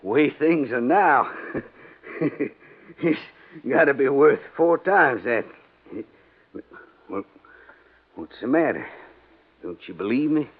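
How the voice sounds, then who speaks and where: A man's voice: mid-range voice, lively intonation, takes it slowly, long pauses, husky. An actor playing a proud, irascible, fat older Southern rich man in a 1950s radio drama, folksy and commanding, with an American Southern accent. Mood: indignant. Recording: muffled vintage radio drama recording.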